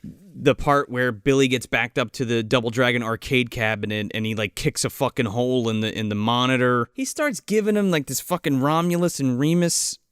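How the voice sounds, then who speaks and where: A man's voice: mid-range voice, normal speed, fairly bright tone, clean tone, no room sound, clean studio sound. A man co-hosting a casual movie review podcast, retelling scenes with profanity and lots of laughter. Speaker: podcast co-host.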